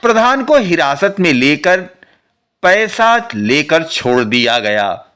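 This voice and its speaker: A man's voice: on the high side, sing-song, takes it slowly, fairly bright tone, clear, resonant tone. A man reading a scripted news report as a narrator.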